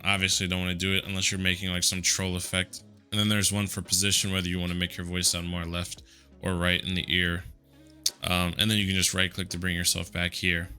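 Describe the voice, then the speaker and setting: A man with a deep voice walking through software settings in a relaxed, conversational way, with a headset mic. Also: clear, resonant tone. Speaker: tutorial host. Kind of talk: casual screen-recorded software tutorial.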